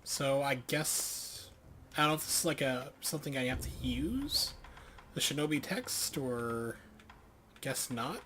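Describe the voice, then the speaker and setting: A man streaming a video game and thinking aloud, reading tutorial text in a halting way and correcting himself as he goes. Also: mid-range voice, animated, pushed and bright, slight background noise.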